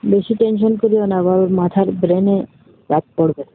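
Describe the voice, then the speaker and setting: A woman's voice: mid-range voice, steady tone, moderate pace. A woman with a Bangladeshi accent in a casual phone conversation, bantering with cheeky retorts. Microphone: phone call. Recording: tinny phone line with noise.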